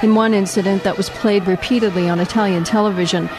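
A woman's voice: mid-range voice, steady tone, fast, music in the background, a crowd in the background. A woman radio reporter narrating a scripted news report.

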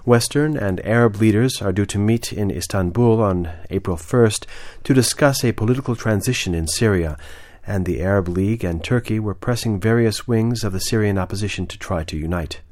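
A man's voice: fairly low pitch, normal speed, a little mellow, no echo. A man presenting radio news, reading scripted international reports.

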